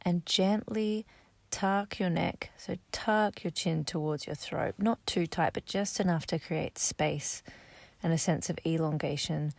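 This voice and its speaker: A woman guiding a sleep meditation, speaking softly and gently, slow and instructive, sometimes half-whispered. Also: fairly low pitch, creaky, no room sound. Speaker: meditation guide.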